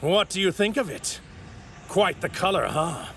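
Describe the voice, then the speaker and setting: A man's voice: sits high, measured pace, noisy background. A man playing an arrogant godlike villain in an English anime dub, with pompous, theatrical, formal delivery. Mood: gloating. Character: arrogant godlike male villain in a stolen warrior body.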